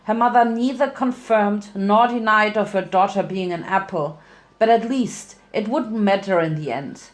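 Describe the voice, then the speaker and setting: A woman's voice: mid-range voice, normal speed. A woman narrating fan fiction as a dramatic reading, occasionally stumbling and correcting herself.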